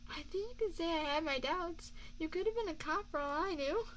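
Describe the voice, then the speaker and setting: A woman playing an affectionate young mafia boss girlfriend in an ASMR roleplay, speaking in a soft, confiding, measured way close to a binaural microphone. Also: very high-pitched, slight background noise. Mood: teasing, confiding. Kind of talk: ASMR girlfriend roleplay, scripted intimate monologue.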